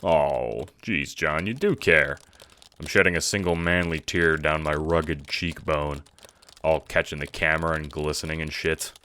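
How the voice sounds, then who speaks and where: A man voicing a laid-back, sarcastic teenage boy in an audio drama, deadpan and slangy. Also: rumbling low, measured pace, a little hiss or hum, full character voice. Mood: sardonic.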